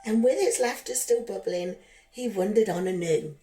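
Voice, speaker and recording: A woman narrating a rhyming children's bedtime story in a gentle, expressive, singsong way, as a storyteller for young listeners. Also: mid-range voice, normal speed. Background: background music.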